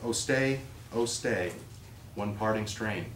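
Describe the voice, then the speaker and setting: A man's voice: fairly low pitch, slow pace, distant mic. A man at a live reading, speaking theatrically with phrases that rise and fall. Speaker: reader at a readers theater event. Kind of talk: expressive live poetry recitation and introduction. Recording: echoey room, off-mic, faint noise.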